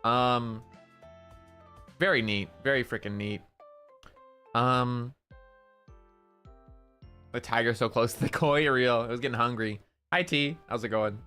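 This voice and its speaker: Young male streamer, nasal, loud and slangy, bright edge. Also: medium pitch, measured pace, long pauses, smooth, soft background music. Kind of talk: casual stream chat.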